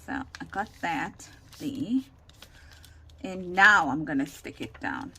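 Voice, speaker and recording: A woman giving a casual, chatty craft demonstration, thinking aloud in a rambling way with a loud, carrying voice, recorded without a separate microphone. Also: lowish voice, animated, slow, slightly rough. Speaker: craft tutorial host. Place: indoors at a home craft desk. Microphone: camera or phone without separate microphone. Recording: noisy room, no microphone.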